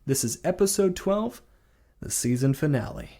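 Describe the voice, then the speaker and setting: Podcast announcer, male: scripted delivery, dark soft timbre, slightly raised pitch. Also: animated, moderate pace.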